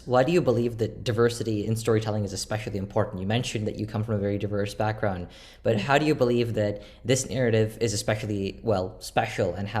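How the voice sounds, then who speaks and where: A man's voice: lowish voice, a little mellow. A male interviewer politely delivering prepared introductions and questions in a formal manner.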